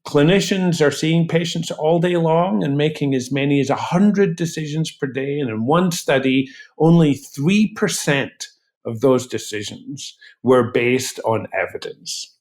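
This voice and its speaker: Guy being interviewed as an expert, kinda high gravelly fry, explains things deliberately. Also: a little mellow. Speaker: guest expert, physician researcher.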